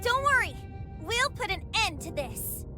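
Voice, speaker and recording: A young game heroine with a very high, expressive voice. She is earnest and determined, speaking unhurriedly over loud music. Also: measured pace, exaggerated voice. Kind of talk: dramatic video game voice acting.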